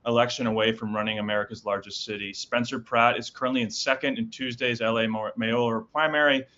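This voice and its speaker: A man's voice: mid-range voice, steady tone, bright, forceful tone, muffled recording. A man hosting a news show, reading headlines and then interviewing a guest with short follow-up questions. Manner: brisk, reads headlines then asks follow-ups with frequent backchannels.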